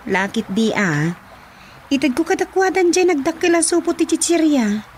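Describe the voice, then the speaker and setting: Woman acting a high-pitched fretful Ilocano wife. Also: sing-song, normal speed, darkish tone, slight background noise. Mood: hurt, arguing. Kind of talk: Ilocano radio comedy drama acting.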